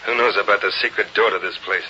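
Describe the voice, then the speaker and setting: An actor playing a bossy, menacing gang boss who gives gruff, slangy commands in old radio crime drama. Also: male voice, on the low side, fairly even intonation, fast, lightly acted. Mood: curt, bossy. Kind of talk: 1930s-40s radio acting. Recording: tinny, faintly noisy old radio recording.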